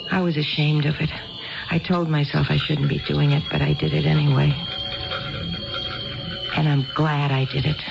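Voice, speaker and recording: An actress playing a tipsy, neglected housewife who rambles and confides hesitantly, in a 1970s radio drama style. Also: female voice, low-pitched, creaky, full character voice. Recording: dull 1970s radio broadcast recording.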